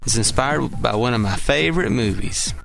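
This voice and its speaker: A man's voice: medium pitch, expressive, moderate pace, gravelly, music in the background. A man playing an earnest, proud inventor, declaiming theatrically in a comedy audio sketch. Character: earnest, proud amateur inventor of a time machine. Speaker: podcast sketch performer.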